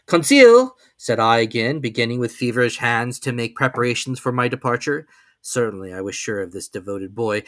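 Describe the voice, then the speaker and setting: A man's voice: on the low side, a bit bright, no room sound. A man giving a theatrical, formal dramatic reading of an adventure novel as its narrator. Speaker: narrator, reader.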